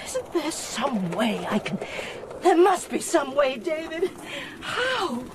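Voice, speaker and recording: A woman's voice: high-pitched, very animated, takes it slowly, noisy background, exaggerated voice. An actress in a radio drama playing a desperate, stubborn mother and doctor, urgent, emotional and defiant.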